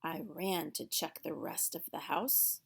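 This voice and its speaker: A woman's voice: fairly low pitch, a little slow, vocal fry, slightly rough. A woman reading a storybook aloud for young children in a theatrical way, drawing out words for emphasis.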